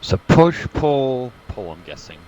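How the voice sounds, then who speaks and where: A man's voice: medium pitch, very animated, unhurried, warm tone, a little hiss or hum, poor audio. A man joining a game stream, chatting casually with dry, joking remarks. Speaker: stream co-player. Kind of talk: casual gaming chat.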